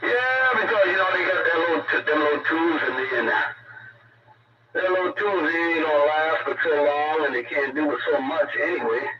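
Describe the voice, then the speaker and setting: A man's voice: a little high, moderate pace. A man chatting casually over CB radio in a folksy, slangy, repetitive way, his voice coming through as a radio transmission. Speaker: CB radio operator. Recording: very noisy, dull, distant CB radio.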